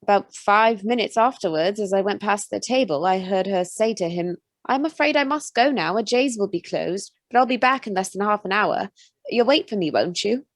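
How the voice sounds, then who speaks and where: Chatty tearoom waitress, close dry sound. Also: female voice, mid-range voice, lively intonation, normal speed, no echo. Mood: earnest recounting. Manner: earnest, detailed storytelling. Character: earnest, chatty young Edwardian tearoom waitress. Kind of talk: radio play acting.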